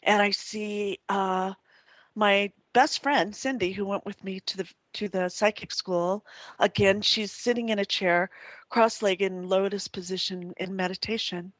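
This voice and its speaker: A woman guest telling a personal spiritual experience in a rambling way, with many restarts and soft laughs. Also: medium pitch, steady tone, unhurried, no echo.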